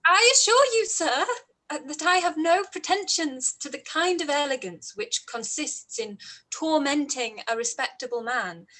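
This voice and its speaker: An actress playing a spirited young gentlewoman, polite, formal and firm, in a period radio play. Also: high voice, animated, moderate pace, poor audio. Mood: indignant, firm. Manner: formal, composed, emphatic. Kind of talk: period drama live-read radio play.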